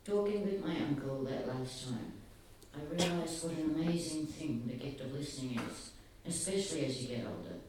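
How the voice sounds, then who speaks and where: A soft-spoken man giving an earnest, halting public talk, often pausing. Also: a little high, animated, slight background noise. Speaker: speaker at a gathering. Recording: very echoey, distant, faint ambience.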